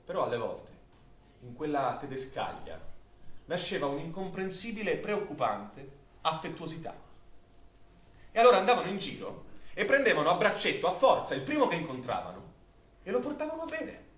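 An actor playing a bitter villager who recounts wartime occupation in a vivid, theatrical radio-play monologue. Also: male voice, fairly high pitch, moderate pace, pauses often. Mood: wry, confiding. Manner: expressive, dramatic storytelling delivery. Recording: roomy, distant stage recording with faint noise.

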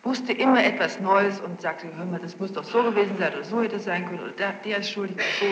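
A woman's voice: mid-range voice, fast. A young adult woman giving spontaneous, insistent testimony as a witness, repeating words for emphasis. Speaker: witness being questioned. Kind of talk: spontaneous testimony recounting events. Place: reverberant room, taped interrogation. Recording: noisy, muffled, echoey archival tape.

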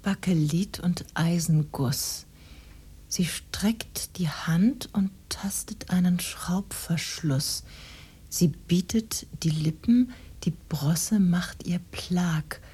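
Eine junge Frau spielt in einem Hörspiel eine spröde, empfindliche Sekretärin mit behauchter Stimme und genauer Sprechweise. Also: female voice, on the low side, takes it slowly, warm tone, full character voice. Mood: softened, musing. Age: young adult. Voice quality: husky, breathy. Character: prim, touchy young female secretary with philology doctorate. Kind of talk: conversational radio drama acting.

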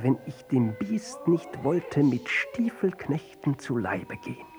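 An actor playing a pompous, world-weary fantasist poet, declaiming grandly in an avant-garde radio play. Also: male voice, mid-range voice, warm tone, rough recording.

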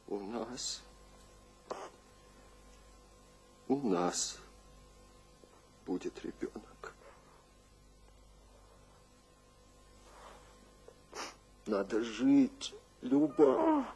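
An actor playing a weary, self-blaming husband who feels old and grey, half-whispering in a radio drama. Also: male voice, medium pitch, huge pitch swings, extremely slow, creaky, warm tone, a little hiss or hum, heavily acted. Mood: weary despair. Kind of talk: theatrical radio drama acting.

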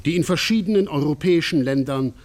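A man reading a scripted radio documentary narration in a solemn, measured manner. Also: fairly high pitch, noisy background, lightly acted.

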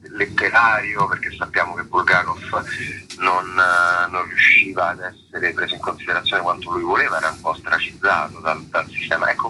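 A man, a stage actor being interviewed, explaining at length in a halting way, searching for words and rephrasing as he goes. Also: low voice, sharp tone, a little hiss or hum, low-quality recording. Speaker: stage actor presenting his play.

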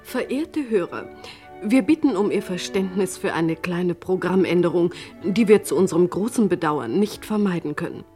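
An actress playing a formal, deadpan female radio announcer in an old German radio comedy, politely apologetic and measured. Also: on the low side, soft background music, lightly acted.

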